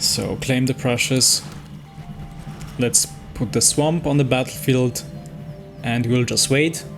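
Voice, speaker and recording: A man's voice: mid-range voice, slow, creaky. A man giving casual gameplay commentary on a card game, thinking aloud as he weighs his moves a little hesitantly. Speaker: host playing and commenting on a digital card game. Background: music.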